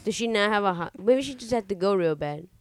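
A man's voice: high voice, expressive, fast talker, clear, resonant tone, dry recording, studio quality. A man from Argentina chatting as a podcast co-host, blunt and informal, with plenty of questions and swearing. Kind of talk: casual banter, questioning.

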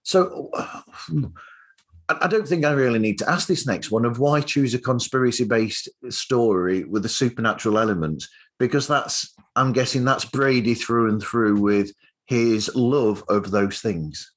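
Medium-pitched man, rambling podcast interviewer. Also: moderate pace. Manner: rambling, tentative questioning. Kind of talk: casual interview chat.

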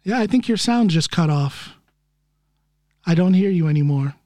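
A man's voice: high voice, expressive, normal speed, a little mellow, no echo, clean studio sound. A man hosting a podcast interview, chatty and self-deprecating, laughing often. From United States. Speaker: podcast host. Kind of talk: casual conversational interview.

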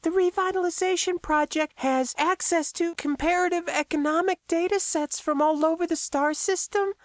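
A storybook narrator voicing a clever, excitable young woman who speaks eagerly and precisely. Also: very high-pitched, dry recording, voice pushed up.

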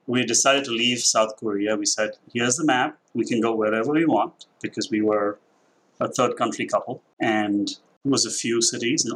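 A man in his forties from India with a blended Indian and international accent, speaking as a company president in a podcast interview, measured and thoughtful with frequent fillers. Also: mid-range voice, fairly bright tone, poor audio. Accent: Indian, with a mix of international influences.

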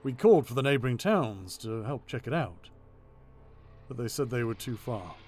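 A man's voice: medium pitch, expressive, moderate pace, darkish tone, slight background noise, natural voice. A man playing a stern, battle-hardened military commander in a science fiction audio drama, speaking tersely. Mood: grave. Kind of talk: sci-fi audio drama acting.

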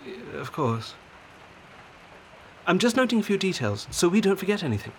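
An actor playing an earnest, insistent doctor in a naturalistic 1940s audio drama, speaking in a serious, probing way. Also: male voice, high-pitched, big pitch swings, moderate pace, darkish tone, slight background noise. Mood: concerned. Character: earnest, insistent doctor documenting prison camp conditions in 1945.

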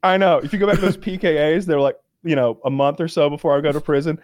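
A man's voice: on the high side, fast talker, dry recording, studio quality. A man co-hosting a podcast, telling stories in a loose, laughing way and swearing freely. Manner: animated storytelling, swears freely, laughs often. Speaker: podcast co-host. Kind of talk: casual chat.

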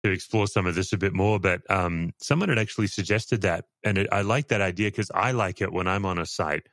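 Male interview host, very low deep voice, loose rambling chat, dry close sound. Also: brisk, fairly bright tone, no echo. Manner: rambling, self-deprecating, frequent restarts. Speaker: podcast host.

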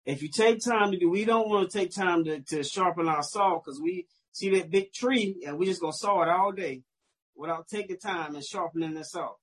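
A man's voice: high-pitched, normal speed, breathy edge. A man co-hosting a live online business panel, explaining with homely sayings. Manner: folksy, explains with proverbs. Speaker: co-host.

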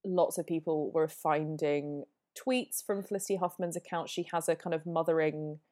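Low, mellow woman with a cold. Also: steady tone, normal speed. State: has a cold. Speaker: podcast guest. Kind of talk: casual podcast chat. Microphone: remote call.

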